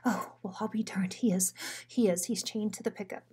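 A woman's voice: mid-range voice, steady tone, moderate pace, a little mellow, breathy edge. A timid, goofy little dog from a children's story, voiced by a woman. He talks in a nervous, hesitant, naive way, as the smaller sidekick.

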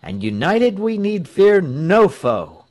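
A man's voice: high voice, sing-song, takes it slowly, darkish tone, pitched above the performer's own voice, full character voice. A man voicing a bold, resolute freedom-fighting leader in a storybook reading, speaking in a rousing, declamatory way. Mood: defiant, rousing.

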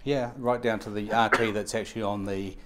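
A man's voice: fairly low pitch, steady tone, background noise. A man in his fifties with a New Zealand accent, giving long, run-on answers full of fillers and restarts in an interview, recorded on a lapel mic in a showroom. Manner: fast, run-on explanations with many fillers and restarts. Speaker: radio equipment supplier. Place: indoor showroom.

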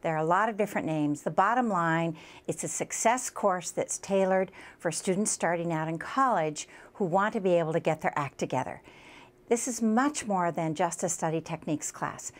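A woman with a fairly low voice explains things as a college adviser would in an informational presentation. She stresses points as she lists them. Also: moderate pace.

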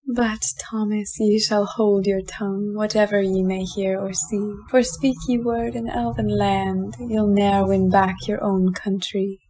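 A woman's voice: mid-range voice, little pitch movement, measured pace, warm tone, slight background noise, natural voice. A woman playing an alluring, possessive fairy woman in an audio play, beckoning in verse.

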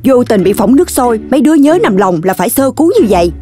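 Firm cartoon adult woman: high-voiced, brisk, Southern Vietnamese, admonishing like a caretaker. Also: sing-song, mellow, soft background music. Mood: stern. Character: firm, instructive adult woman looking after children. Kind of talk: Vietnamese children's 3D cartoon dubbing.

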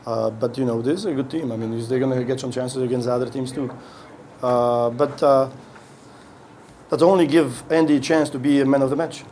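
Halting middle-aged coach at a podium. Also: male voice, medium pitch, fairly even intonation, warm tone, slight background noise. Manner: halting, many restarts while searching for words. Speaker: head coach. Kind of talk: post-game press conference answers. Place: indoor press room. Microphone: podium mic on a stand.

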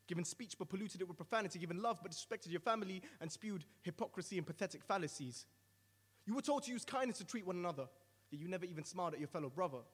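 A man's voice: fairly high pitch, racing, hardly any pauses, darkish tone, in a large hall, close to an everyday voice. A man voicing a stern male angel of punishment who delivers a roaring, solemn condemnation in rhyme. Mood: condemning.

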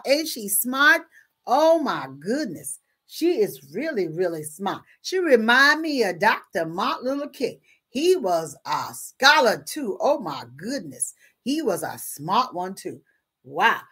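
Woman performing as folksy church lady, American accent, raspy husky tone, exuberant children's storytime, chatty asides, repeated exclamations. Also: mid-range voice, fairly bright tone, heavily acted. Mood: marvelling. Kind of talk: dramatic read-aloud with chatty asides. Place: small room at home.

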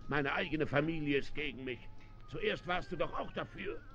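A man's voice: fairly high pitch, normal speed, a bit bright, lightly acted. An actor playing a stubborn, scheming family man in a German children's radio play. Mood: bitter, insistent. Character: stubborn, scheming father arguing secretively. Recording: muffled, distant 1970s radio play recording.

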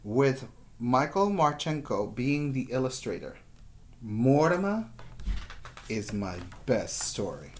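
A man in his forties, a preschool teacher, reading a story aloud in a deliberate, expressive way and checking in with his young listeners. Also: mid-range voice, a little hiss or hum. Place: small plain room indoors. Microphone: webcam at arm's length.

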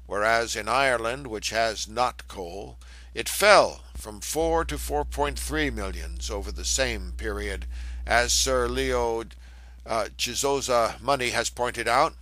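A man reading an old scholarly lecture aloud in a measured, formal manner, with the occasional stumble over a word. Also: medium pitch, bright, forceful tone, no room sound, rough recording. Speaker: reader of a historical lecture text.

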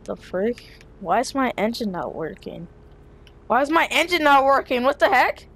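A high-voiced game player improvising roleplay in short exclamatory phrases, acting out the action. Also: sing-song, moderate pace, gravelly, fairly bright tone. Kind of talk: dramatic improvised roleplay during gameplay.

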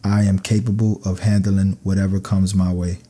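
A man narrating scripted sleep meditation affirmations in a calm, soothing, measured way. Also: sits low, husky.

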